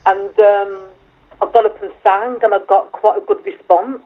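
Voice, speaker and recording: A woman singer with a deep voice, chatting on the phone in an interview, a bit hesitant and self-deprecating, with plenty of fillers. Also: steady tone, normal speed. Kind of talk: casual chat over the phone. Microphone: telephone. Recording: tinny phone line.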